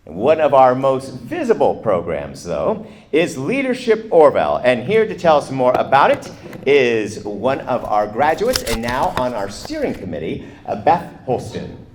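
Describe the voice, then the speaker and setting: Animated male emcee, loud and playful, over faint room noise. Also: mid-range voice, unhurried. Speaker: chamber event emcee. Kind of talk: joking emcee remarks. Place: event room at a college.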